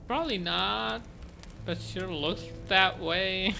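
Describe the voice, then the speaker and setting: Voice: mid-range voice, takes it slowly, vocal fry. A streamer giving casual gameplay commentary, chill and musing aloud while playing. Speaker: streamer playing a story game. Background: game music.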